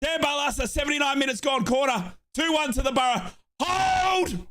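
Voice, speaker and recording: A man hosting a live fan watchalong, calling a football match in excitable play-by-play and chanting. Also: extremely high pitch, moderate pace. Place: home office.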